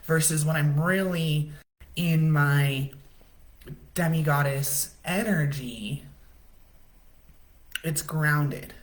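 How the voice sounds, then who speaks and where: Woman, low deep voice, casual chatty spiritual coach hosting a live talk. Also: drawn-out words, long pauses. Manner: casual, chatty, says "right?" often. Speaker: livestream host.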